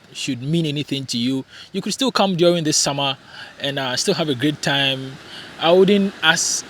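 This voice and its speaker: A young man from Ghana talks casually to his viewers, animated and quick to ask rhetorical questions, with plenty of ums along the way. His voice sits a little high for a man, and soft music plays faintly behind him. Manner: chatty, animated, asks rhetorical questions, many fillers. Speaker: vlogger. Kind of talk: casual vlog chat. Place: home in hot, humid climate.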